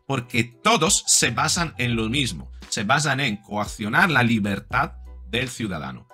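A man's voice: mid-range voice, pushed and bright. A man in his forties, a psychologist commenting to camera from a home studio, talking reflectively with fillers and restarts. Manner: reflective, with many fillers and repeats.

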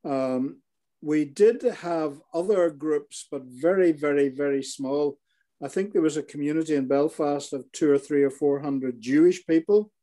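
Retired doctor, older Northern Irish man, warm and slightly high-pitched, halting, over a laptop call. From Northern Ireland. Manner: hesitant, many fillers and restarts. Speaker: retired paediatrician. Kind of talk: interview over video call. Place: living room in an armchair. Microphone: laptop webcam video call.

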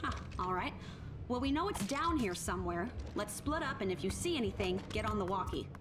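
A woman playing a brisk, take-charge ghost hunter in a game, speaking in a clipped, blunt, assertive way. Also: mid-range voice, lively intonation, noisy background, close to an everyday voice. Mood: commanding. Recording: loud music under the dialogue.

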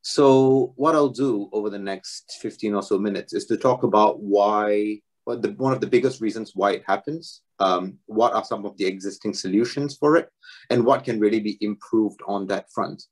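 A young man from Singapore giving a relaxed academic talk as a doctoral student, self-deprecating and dotted with fillers. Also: lowish voice, normal speed. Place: home room, video talk.

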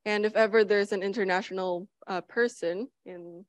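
A young woman from the Philippines answers on a student panel in English, cheerful and quick to laugh. Her voice sits in a middle range, and the handheld mic sounds dry. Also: medium pitch, fairly even intonation, no room sound. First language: Filipino.

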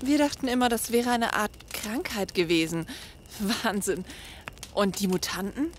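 A woman's voice: mid-range voice, animated, moderate pace, slight background noise, lightly acted. Woman acting a compassionate mid-voiced plague survivor. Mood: amazed. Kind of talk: German zombie audio drama acting.

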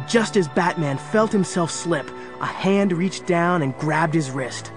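An actor playing the dramatic storyteller of a superhero adventure, reading scripted narration. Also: male voice, a little high, expressive, unhurried, music in the background.

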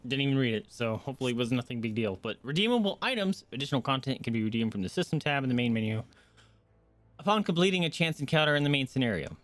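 A man's voice: mid-range voice, a bit bright, dry recording. A man livestreaming a game, chatting informally and wandering into asides for his viewers. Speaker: game streamer. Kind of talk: casual chat while livestreaming a game.

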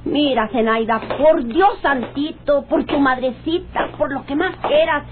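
An actress playing a desperate, sharp-tongued rural cousin with a Mexican accent, pleading in melodramatic radionovela style. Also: female voice, high-pitched, measured pace, slight background noise. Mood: pleading, tearful. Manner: insistent, imploring, melodramatic. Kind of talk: radionovela acting. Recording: dull lo-fi old radio drama recording.

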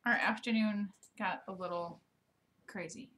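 A woman in her thirties co-hosting a casual ranch livestream from a living room couch, chatty and quick to laugh. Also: mid-range voice, fairly bright tone. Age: 30s. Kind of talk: casual live chat.

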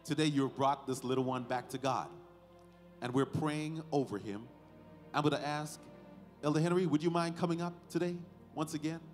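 Declamatory male pastor in a reverberant church. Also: fairly high pitch. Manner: declamatory, deliberate short phrases with emphatic stresses and rhetorical questions. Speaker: pastor leading a baby dedication. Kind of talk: sermon, ceremonial address to family and congregation. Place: large church sanctuary, indoors. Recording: hall reverb, faint music behind.

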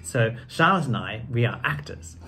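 A man's voice: mid-range voice, animated, moderate pace, bright, forceful tone. A middle-aged man putting on a British accent, reading to children in a theatrical, genteel and effusive way with playful banter. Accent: British, put on. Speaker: children's storybook reader, actor. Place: living room with a piano. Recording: faint background room noise.

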